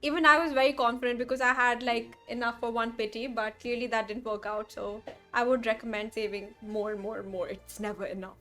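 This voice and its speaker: A woman's voice: on the high side, little pitch movement, brisk, few pauses, a bit bright, soft background music. A woman streamer giving casual live reactions while playing a game.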